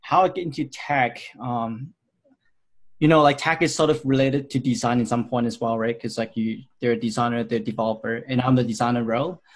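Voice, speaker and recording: A man's voice: medium pitch, steady tone, brisk. A man in his thirties from Hong Kong, answering interview questions about his design career in a loose, rambling way with many fillers. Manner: rambling, frequent fillers and restarts.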